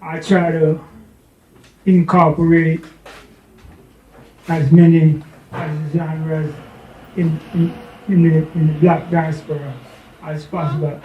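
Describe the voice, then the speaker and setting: High-pitched Jamaican man in echoey room. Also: flat delivery, slight background noise. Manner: halting, repeats words, conversational tags. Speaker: DJ and internet radio show host. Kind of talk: casual self-introduction to an audience. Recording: dull, echoey, distant room microphone.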